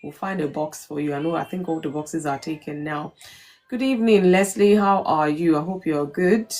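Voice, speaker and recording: A woman's voice: deep voice, moderate pace. Woman hosting a faith talk. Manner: warm greetings to viewers, many fillers. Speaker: live stream host.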